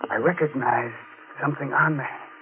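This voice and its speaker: An actor playing a grave, haunted male sleuth in a 1940s radio mystery, with deliberate, suspenseful delivery. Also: medium pitch, over loud music, lightly acted. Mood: hushed suspense. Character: grave, haunted male amateur sleuth telling his own story. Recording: noisy, tinny 1940s radio recording.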